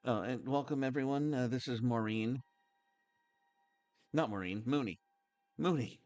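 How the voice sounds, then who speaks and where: A man hosting a game livestream, chatting casually in a halting, rambling way. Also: mid-range voice, darkish tone. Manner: halting and rambling, many restarts. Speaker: game streamer, host.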